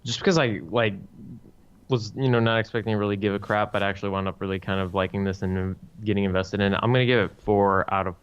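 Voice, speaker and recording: A man's voice: fairly low pitch, fairly even intonation, fast, clean tone, dull audio. A man co-hosting a podcast, giving his opinions in casual review chat. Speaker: podcast co-host reviewing fan films.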